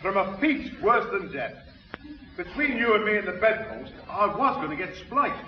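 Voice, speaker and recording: An actor playing a grumbling, put-upon neighbour in a 1960s radio sitcom. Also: male voice, high-pitched, very animated, normal speed, echoey room, recorded from a distance, a little hiss or hum. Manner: grumbling, aggrieved. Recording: dull 1960s radio recording.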